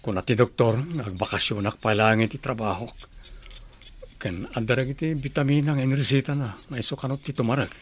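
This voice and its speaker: A man's voice: medium pitch, a little fast, slightly rough, lightly acted. A man acting a troubled, resigned husband and father with failing eyesight in a Filipino radio drama, speaking with a Filipino accent.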